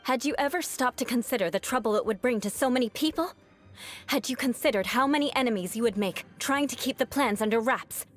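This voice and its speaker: A woman voicing a proud young noblewoman knight in a video game, composed and defiant, with formal, measured, coolly disdainful delivery. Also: on the high side, soft background music, lightly acted. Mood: firm reproach.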